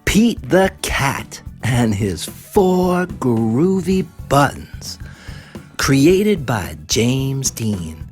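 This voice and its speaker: Woman, children's read-aloud narrator, lively swings in pitch, very slow delivery, expressive, music behind. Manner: expressive, deliberate, asks listeners questions. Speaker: storybook reader.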